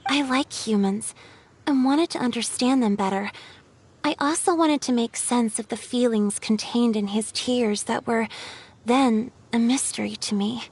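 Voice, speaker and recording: Gentle, wistful water spirit, higher-pitched. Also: female voice, husky. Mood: wistful reminiscing. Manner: earnest, poetic, measured storytelling. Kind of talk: scripted video game voice acting. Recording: music behind the voice.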